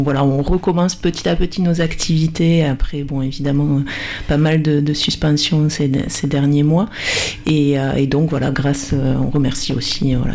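A woman's voice: sits low, mellow. A woman guest on a community radio show, chatting casually in the studio with many fillers and restarts. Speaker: radio guest, language association volunteer. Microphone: studio mic with headphones.

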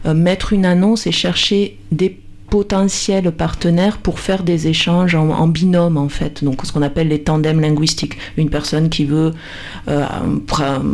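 A woman's voice: low voice, a little mellow. A woman guest on a community radio show, chatting casually in the studio with many fillers and restarts. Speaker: radio guest, language association volunteer. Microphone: studio mic with headphones.

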